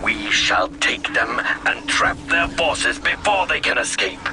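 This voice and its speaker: Slow, stern alien commander played by a man. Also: mid-range voice, animated, natural voice. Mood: calculating. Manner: deliberate, formal. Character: calculating, stern male alien Tau military commander. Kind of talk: video game cutscene acting. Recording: music underneath.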